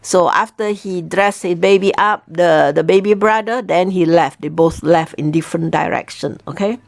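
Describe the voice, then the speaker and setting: A woman teacher telling a folk tale in a chatty, animated way, often checking in with her listeners. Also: on the low side, normal speed, gravelly. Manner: animated, chatty, frequent "okay?" check-ins. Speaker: Chinese teacher telling a story. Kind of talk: casual storytelling.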